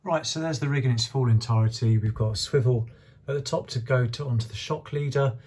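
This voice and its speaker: A man's voice: medium pitch, brisk, darkish tone. A man, talking casually and instructively as a fishing vlogger. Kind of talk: casual instructional vlog talk. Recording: clear background ambient noise.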